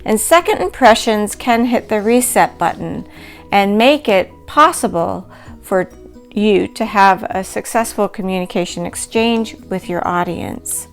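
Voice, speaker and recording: A middle-aged woman teaching a recorded lecture, explaining concepts in a clear, measured way. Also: mid-range voice, soft background music. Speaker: instructor.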